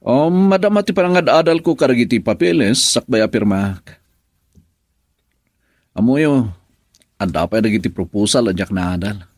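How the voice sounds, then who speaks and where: A man's voice: medium pitch, lively intonation, moderate pace, pauses often, darkish tone, no room sound, clean studio sound, natural voice. A man playing a troubled, dutiful husband, brooding and earnest, in a Filipino radio drama in Ilocano. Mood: polite, eager. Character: troubled husband, dutiful son-in-law running the family business.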